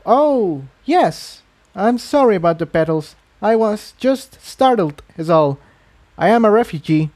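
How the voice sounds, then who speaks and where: A man's voice: high voice, animated, unhurried, darkish tone, pitched above the performer's own voice. A man voicing a guarded, apologetic female pony refugee in a fantasy story reading. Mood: flustered, apologetic. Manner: curt, apologetic. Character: guarded, jumpy mare unicorn refugee, apologetic.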